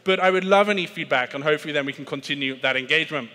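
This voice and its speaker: Bright-voiced British man giving keynote. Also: a little high, rapid-fire. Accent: British English. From Britain. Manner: chatty, self-deprecating, frequent "uh" and restarts. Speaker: keynote speaker on sales. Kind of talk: conference keynote presentation. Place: large conference hall. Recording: reverberant conference hall.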